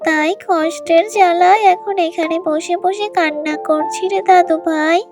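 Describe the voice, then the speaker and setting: Cartoon granny, played by a woman: elderly, plaintive, kindly, extremely high pitch, music clearly behind. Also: steady tone. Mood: plaintive, pitiful. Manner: plaintive, coaxing, drawn-out endearments. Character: hungry, weepy, kindly old village granny. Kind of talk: children's cartoon voice acting. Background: children's music.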